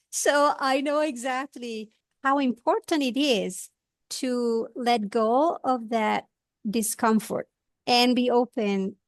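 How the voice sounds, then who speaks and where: Italian woman host, accent, gentle earnest way of talking, kinda high voice. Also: no echo. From Italy. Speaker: podcast host. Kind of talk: podcast interview.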